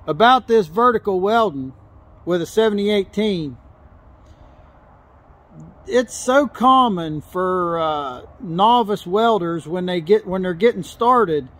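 High-voiced man teaching welding outdoors. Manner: plain-spoken, repeats and restarts phrases while explaining. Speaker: welder teaching on camera. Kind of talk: hands-on welding tutorial. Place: outdoors by a log truck in a gravel yard.

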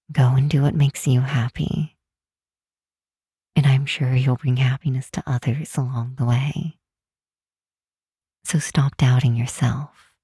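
A woman playing a regal, protective demon queen in a comforting ASMR roleplay, speaking softly and soothingly in a deliberate, hushed way. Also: very deep voice, dry recording, clean studio sound, full character voice. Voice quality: soft, breathy, hushed.

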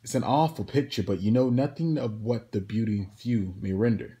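A man delivering a spoken-word poetry monologue in a dramatic, deliberate manner with pauses between phrases. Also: on the low side, dark tone. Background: plucked guitar music.

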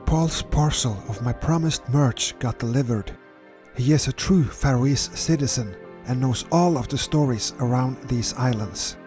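A man calmly narrating his own sailing voyage in a measured voice-over, with slightly non-native phrasing. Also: medium pitch. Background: music.

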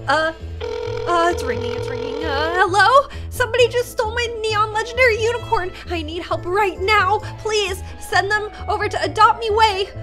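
A woman's voice: extremely high pitch, moderate pace. A young woman hosting a gaming video, acting out a roleplay expressively. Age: young adult. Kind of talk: dramatized game roleplay. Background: faint music.

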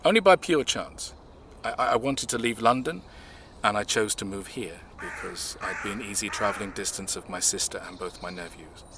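A measured, reserved older-sounding man. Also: on the low side, fairly bright tone, a little hiss or hum. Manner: measured, reflective storytelling. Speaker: actor in an audio drama. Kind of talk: audio drama acting.

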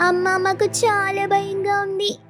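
Frightened, high-pitched little sparrow chick. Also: female voice, completely flat delivery, a little slow, fairly bright tone, soft background music. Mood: frightened, pleading. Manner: childlike, fearful, whiny. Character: frightened small sparrow chicks pleading with mother.

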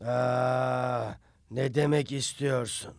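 Creaky, grumbling devil underling. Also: male voice, medium pitch, fairly even intonation, extremely slow, no echo, studio quality. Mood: puzzled, defeated. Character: grumbling male devil underling, gloomy schemer. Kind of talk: dubbed religious cartoon acting.

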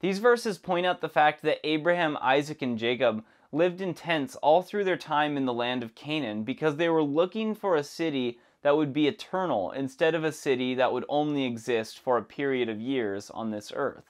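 A young man presenting a scripted Bible lesson in a measured, even reading voice, recorded on a lapel mic in a dark studio. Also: on the high side. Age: young adult. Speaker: Bible teacher. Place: dark studio with plants behind.

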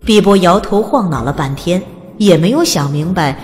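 A woman's voice: on the low side, animated, normal speed, darkish tone, in a large hall, slight background noise. Woman narrating a storybook in lilting tones. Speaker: audiobook narrator.

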